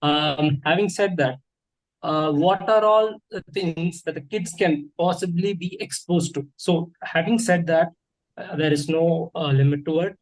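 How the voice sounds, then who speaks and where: A man's voice: fairly high pitch, unhurried, low-quality recording. A father asking questions hesitantly, with many fillers and restarts. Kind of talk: casual question.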